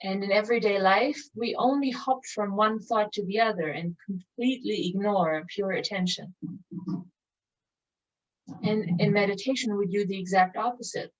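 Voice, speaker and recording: A woman speaks as a meditation teacher, guiding listeners calmly and contemplatively. Her medium-pitched voice moves in measured phrases separated by long pauses. The mic sits some way off, leaving the sound slightly dull and lo-fi. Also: distant mic. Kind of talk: guided meditation, spoken slowly with long pauses.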